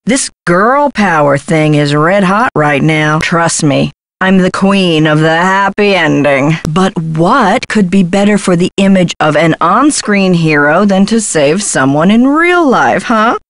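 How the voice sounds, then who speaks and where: A woman's voice: fairly low pitch, animated, a little slow, dry recording. A woman voicing a brash, scheming Hollywood talent agent in a video game, glib and condescending, with slangy talk. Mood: scheming, enthusiastic.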